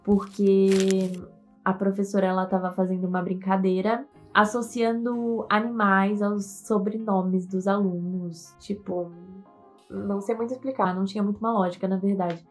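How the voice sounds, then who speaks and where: A woman's voice: medium pitch, flat delivery, normal speed, soft background music. An eighteen-year-old woman, a university student, telling her story in a conversational way and sometimes searching for words. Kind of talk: personal testimony to camera.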